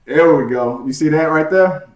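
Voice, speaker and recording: A man's voice: fairly high pitch, moderate pace, darkish tone, slight background noise. A man from Houston hosting a casual live-stream chat, loose and joking with many restarts. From Houston, Texas. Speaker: podcast host. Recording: echoey room.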